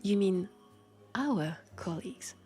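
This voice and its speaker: A woman's voice: medium pitch, lively intonation, slow, mellow, faint music behind. A woman with a French accent playing a guarded but friendly vampire police officer in an intimate audio drama, speaking hesitantly and wryly, as if confiding. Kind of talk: roleplay audio drama, intimate one-sided conversation.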